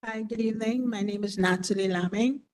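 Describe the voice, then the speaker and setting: A woman's voice: medium pitch, brisk, a little mellow. A woman in her forties, a business owner, speaking earnestly and conversationally at a community board meeting. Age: 40s. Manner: earnest, conversational, repeats herself. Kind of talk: public comment at a meeting.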